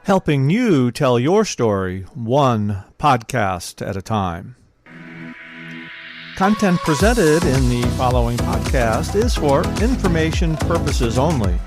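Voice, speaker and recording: A man reading a scripted network intro and disclaimer in a measured way. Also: medium pitch, sing-song. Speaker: network announcer. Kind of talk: scripted announcement. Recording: loud music bed behind the voice.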